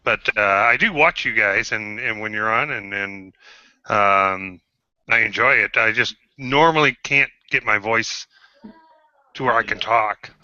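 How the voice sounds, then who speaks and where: A man in his fifties, a guest on an online panel, speaking haltingly with many pauses and restarts. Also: lowish voice, sharp tone, no room sound, highs cut off. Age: 50s. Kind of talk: casual group chat.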